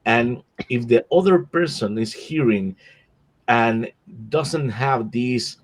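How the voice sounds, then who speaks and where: A man's voice: mid-range voice, takes it slowly. A man whose first language is Spanish, speaking as a panelist in an online discussion, often restarting and searching for words.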